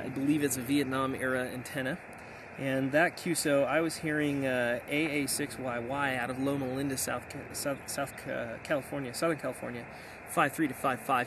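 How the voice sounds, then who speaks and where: A middle-aged American man giving an enthusiastic, casual summary as an amateur radio operator vlogging. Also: mid-range voice. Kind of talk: casual outdoor vlog talk, summarizing. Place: outdoors in hilly backcountry. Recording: outdoor noise behind the voice.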